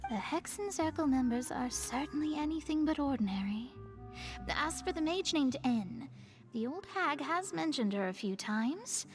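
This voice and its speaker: A woman's voice: sits high, lively intonation, moderate pace. A woman playing an earnest, proud young female astrologer mage in a video game, speaking articulately and formally. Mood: wry, informative. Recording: faint music behind the voice.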